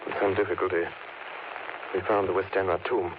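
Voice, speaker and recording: An actor playing a sombre, shaken doctor with a dramatic, clipped delivery, in the manner of 1930s radio horror drama. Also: male voice, low voice, flat delivery, fairly quick, lightly acted. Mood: haunted, horrified. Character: sombre, shaken male doctor narrating his horror diary. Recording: tinny, noisy old radio broadcast recording.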